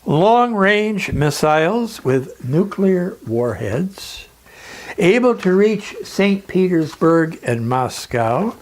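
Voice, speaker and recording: A man delivering a scripted, persuasive lecture in a solemn, emphatic manner with dramatic pauses. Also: sits high, sing-song.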